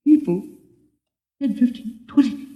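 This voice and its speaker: A man's voice: very high-pitched, expressive, unhurried, dull audio, background noise. An actor playing a timid, anxious middle-aged male clerk in a 1950s radio drama, stammering and easily panicked. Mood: frightened.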